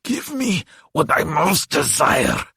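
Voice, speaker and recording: A man voicing a frail, dying old demon for an audiobook, proud and menacing, with a croaking, hissing, breathy voice and slow, grandiose delivery. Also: fairly high pitch, huge pitch swings, bright, forceful tone, no echo, studio quality, pitched above the performer's own voice, heavily acted. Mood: menacing, demanding. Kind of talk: dramatic audiobook character voice.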